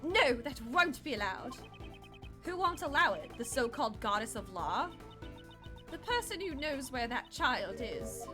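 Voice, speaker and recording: A woman voicing a guarded, anxious female judge in a video game, formal and halting in her speech. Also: high-pitched, sing-song, music in the background. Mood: flustered, guarded.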